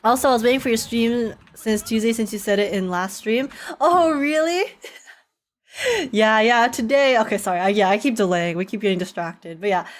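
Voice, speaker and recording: A woman's voice: on the high side, moderate pace. A young woman livestreaming a game, chatting casually with viewers in a bubbly, giggly, chatty way. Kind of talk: casual livestream chat, reading and answering viewer comments. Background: game music.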